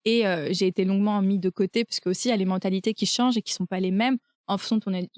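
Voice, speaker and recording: A woman's voice: medium pitch, fairly even intonation, very fast, a little mellow, dry recording, clean studio sound. A young woman from France with a French accent, hosting a podcast conversation and talking casually with frequent hesitations. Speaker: podcast host.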